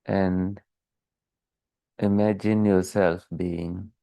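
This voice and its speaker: A man leading a guided meditation as a teacher, calm and measured, leaving long pauses between short phrases. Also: sits low, creaky, clear, resonant tone, dry recording.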